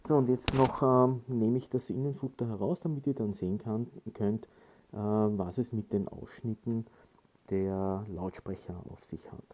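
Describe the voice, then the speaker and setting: A man giving casual hands-on instructions in a halting way, with frequent filled pauses. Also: on the low side, warm tone, slight background noise. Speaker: product tutorial presenter.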